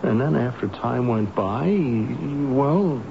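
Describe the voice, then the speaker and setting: An actor playing an earnest, anxious, kindly staffer in a radio drama, hesitant and deferential. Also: male voice, mid-range voice, expressive, takes it slowly, vocal fry. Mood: earnest, pleading. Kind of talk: old-time radio drama acting. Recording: dull, noisy radio drama recording.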